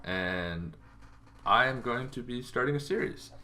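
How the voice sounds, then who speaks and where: A man's voice: medium pitch, animated, unhurried, sharp tone. A man hosting a tabletop gaming video series, explaining casually and enthusiastically in an emphatic, informal way.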